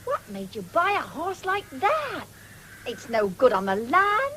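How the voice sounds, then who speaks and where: An actress playing a stubborn, hard-working country woman, sharp-tongued, in a radio drama. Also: sits high, wildly swooping pitch, a little slow, exaggerated voice. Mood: scolding. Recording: hissy 1970s radio drama recording.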